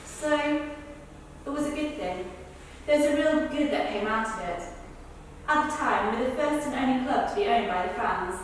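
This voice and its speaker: High-voiced, echoing sceptical woman played by an actress. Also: animated, moderate pace, lightly acted. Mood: reflective. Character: sceptical, wry local witness recalling a strange event. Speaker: performer of verbatim testimonies. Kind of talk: verbatim theatre monologue in rehearsal. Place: large reverberant rehearsal space, recorded from afar. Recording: very echoey hall, distant mic, faint noise.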